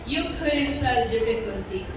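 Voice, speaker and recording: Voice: mid-range voice, animated, unhurried, close to an everyday voice. A young female performer in a school stage play, acting a cruel, bullying headmistress who despises children, scornful and imperious. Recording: roomy far-off stage recording, noisy.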